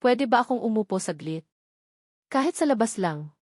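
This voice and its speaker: A woman voicing an awkward, apologetic, gentle man in an audio romance drama, hesitant, stammering and soft-spoken. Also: on the high side, animated, moderate pace, no room sound, clean studio sound. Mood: hopeful, careful.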